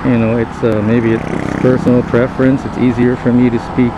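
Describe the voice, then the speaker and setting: A man's voice: medium pitch, level tone, dull audio. Man musing on a motorbike. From Philippines. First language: Tagalog. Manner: rambling, halting, reflective, many fillers and restarts. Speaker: podcast host. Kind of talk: casual spoken monologue while riding. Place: on a motorcycle in city traffic, outdoors. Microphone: helmet-mounted mic. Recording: loud road and traffic noise.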